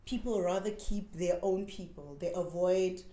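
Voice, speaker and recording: A woman's voice: on the low side, a little slow, echoey room. A South African woman in her twenties, a dancer talking candidly and animatedly as an interview guest. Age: 20s. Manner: candid, animated storytelling with frequent "like" and "man". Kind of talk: casual interview chat.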